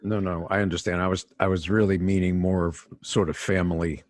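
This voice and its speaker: An older man from New York City, a guest executive coach, telling stories in a measured interview manner and often restarting his phrases. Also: sits low, flat delivery, darkish tone, no echo, clean studio sound. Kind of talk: conversational interview storytelling.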